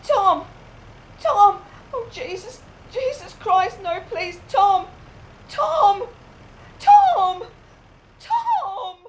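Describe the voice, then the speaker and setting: A woman's voice: very high voice, very animated, extremely slow, long pauses, a little hiss or hum, heavily acted. A woman playing an indignant, confrontational young wife in a radio play, speaking emphatically. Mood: panicked, distraught. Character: angry, indignant young wife in a roadside quarrel.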